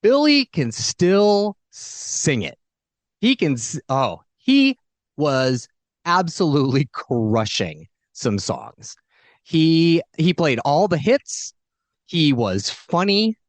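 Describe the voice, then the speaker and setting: Animated, high-pitched man bantering as co-host. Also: slow, no echo. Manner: effusive, jokey, emphatic. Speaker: show co-host. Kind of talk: casual, bantering interview chat.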